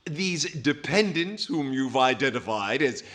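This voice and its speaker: A man playing a snarky, officious tax collector in 1940s radio comedy style, with smug, drawn-out phrasing. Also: mid-range voice, expressive, fairly bright tone. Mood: suspicious, snarky.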